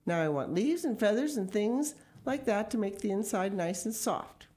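A woman's voice: medium pitch, animated, moderate pace, warm tone, pitched above the performer's own voice, natural voice. A woman reading the part of a bossy, eager father in a children's story, commanding and emphatic.

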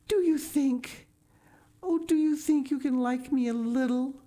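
Lady reader putting on a gushing little girl, breathless and wide-eyed, voice way up high. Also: unhurried, warm tone. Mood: eager. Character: eager dreamy young girl, breathless and earnest. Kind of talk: audiobook character voice.

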